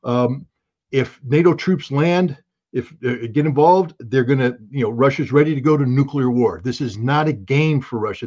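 A man's voice: medium pitch, a little mellow, muffled recording. A man giving blunt, emphatic and scornful political commentary as an interview guest.

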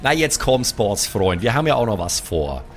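A man's voice: fairly low pitch, sing-song, moderate pace. A man playing in an improvised audio role-play, talking in a casual, chummy way in character. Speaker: player in a role-play show.

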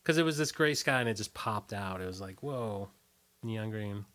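A man's voice: fairly low pitch, lively intonation, normal speed, fairly bright tone. A man talking casually as an interview guest, telling anecdotes in a loose, fragmented way. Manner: loose, fragmented storytelling peppered with 'like'. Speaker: musician being interviewed as guest.

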